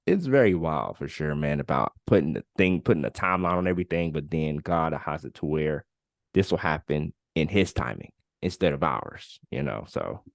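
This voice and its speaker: A young man in his late twenties with a very deep voice talks through his own story on a podcast. He speaks casually and candidly, leaning on filler words as he goes. The sound is dry and close, with no room around him. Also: creaky, no room sound. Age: 20s. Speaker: podcast co-host. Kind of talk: casual conversational podcast chat.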